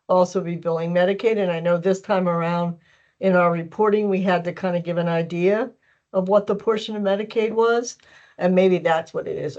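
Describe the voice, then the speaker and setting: A woman's voice: lowish voice, a little mellow. Muffled woman thinking aloud on a call. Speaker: meeting participant. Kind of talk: informal explaining, thinking aloud. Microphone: online conference call. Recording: dull, muffled call audio.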